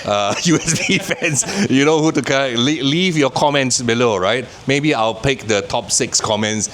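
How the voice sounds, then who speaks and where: A man's voice: mid-range voice, measured pace, fairly bright tone. A Singaporean man hosting a travel vlog, chatting upbeat to the camera at an outdoor night market. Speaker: travel vlogger host. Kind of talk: travel vlog narration and chat. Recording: noisy outdoor street recording.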